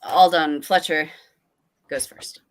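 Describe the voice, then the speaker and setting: A woman's voice: low-pitched, fairly even intonation, takes it slowly. A woman acting as game master, improvising descriptive narration with playful, dramatic asides in a tabletop roleplaying game.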